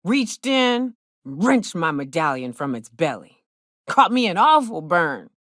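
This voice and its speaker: Casting: a gruff, hard-drinking frontier huntress for a game. Low-pitched, folksy and sardonic, with swooping, animated delivery. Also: female voice, takes it slowly, dry recording, studio quality, heavily acted. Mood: boastful, wry. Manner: folksy, blunt, sardonic drawl. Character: hard-drinking, gruff, wry frontier woman guide and hunter. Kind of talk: video game character dialogue.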